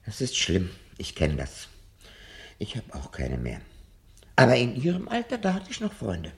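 An actor playing a fearful, sardonic old professor in a German radio drama, speaking in eloquent, theatrical declamation. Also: male voice, mid-range voice, huge pitch swings, moderate pace, gravelly. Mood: wistful, gentle. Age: older.

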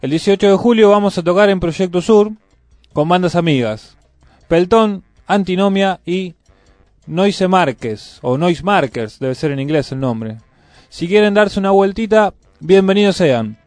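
A man's voice: high voice, animated, measured pace, darkish tone, no echo. A man with a Buenos Aires accent co-hosting casual community radio, chatting and reading out messages in a studio. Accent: Buenos Aires Argentine. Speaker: radio co-host. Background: music.

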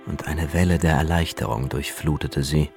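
A man narrating a scripted radio drama in a measured, suspenseful storytelling manner. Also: rumbling low, fairly even intonation, faint music behind.

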